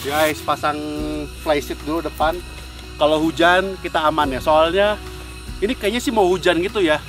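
A man's voice: on the high side, normal speed. A man in his thirties hosting a casual camping vlog outdoors, upbeat and chatty, talking straight to viewers. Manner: upbeat, addresses viewers as guys. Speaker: camping vlogger host. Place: outdoors on a lakeside field. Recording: background music.

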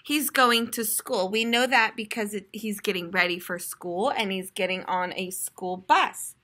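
An American woman in her twenties, a teacher reading aloud to young children in an expressive, explanatory way. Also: mid-range voice, measured pace. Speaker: primary school teacher. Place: classroom, indoors.

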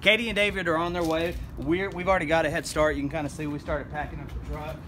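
A man's voice: fairly high pitch, fairly even intonation, quick pace. A man, chatting casually and jokily to camera as he hosts a rambling unboxing vlog. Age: 30s. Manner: rambling, folksy, jokey. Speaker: host of storage unit unboxing show. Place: storage unit and truck, open doors. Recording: noisy camera audio with vehicle sounds.

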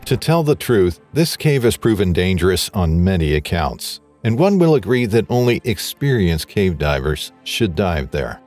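A man with a deep, low voice reads a scripted documentary narration. His delivery is even and measured. A faint music bed plays beneath him.

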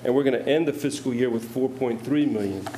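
A man's voice: medium pitch, fairly quick, warm tone, slight background noise, low-quality recording. A middle-aged man alderman questioning budget figures with skeptical, pointed challenges during a city council debate, speaking into a desk microphone.

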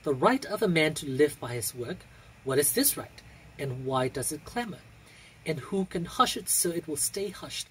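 A young adult with an androgynous, husky voice reciting poetry in a measured, theatrical way with deliberate pauses. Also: medium pitch, big pitch swings, slight background noise, rough recording. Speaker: poetry reader.

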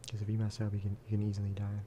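Soft-spoken man with a flat, lowish voice. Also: rapid-fire, background noise. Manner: casual, rambling. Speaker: gaming video creator. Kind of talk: soft-spoken ASMR game commentary.

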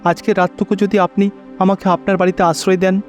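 A man's voice: sits high, level tone, a little fast, close to an everyday voice. A man playing a kindly, helpful young traveller in a cartoon, polite and reassuring. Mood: polite request. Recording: faint music behind.

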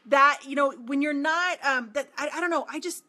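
Brief: forties woman, high bright voice, coach talking to camera, emphatic and repetitive. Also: moderate pace. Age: 40s. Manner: emphatic, repeats phrases, animated with hand gestures. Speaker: marketing coach. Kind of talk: direct-to-camera motivational talk. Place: small home office indoors.

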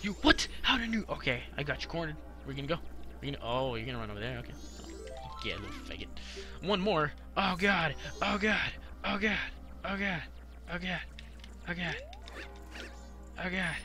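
A young adult man commentating casually on a video game for a live chat, slangy, profane and excitable, often repeating himself. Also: on the high side, normal speed, long pauses, faint music behind. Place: live game stream with game music behind the voice.

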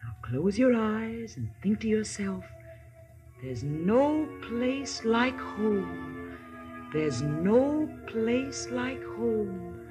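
A woman playing a kindly, wise good witch in a 1950s radio play, measured and soothing. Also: mid-range voice, sing-song, over music. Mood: serene, reassuring.

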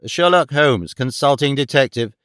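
A man's voice: mid-range voice, expressive, moderate pace, fairly bright tone, clear, resonant tone, no echo, studio quality, natural voice. A man playing a confident, courteous detective in an audiobook, formally polite and probing. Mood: assured. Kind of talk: audiobook character dialogue.

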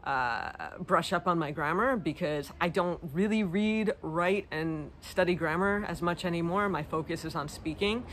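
A woman's voice: lowish voice, a little slow, few pauses, fairly bright tone. Casting: American woman, 30s, casual self-deprecating vlogger, informal delivery, recorded outdoors with audible ambient noise. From United States. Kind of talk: casual chat answering viewer questions. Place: outdoors in a leafy garden. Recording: clear outdoor background noise, garden ambience.

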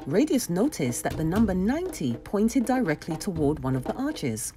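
A woman reading a children's storybook aloud in an expressive narrating style. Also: fairly low pitch, moderate pace, over music.

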